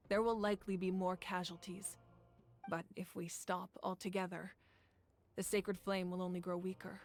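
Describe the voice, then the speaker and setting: A woman playing a patient, resolute female ruler in an English video game, speaking in a measured, formal way. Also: medium pitch, close to an everyday voice. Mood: calm, grave. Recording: music under the voice.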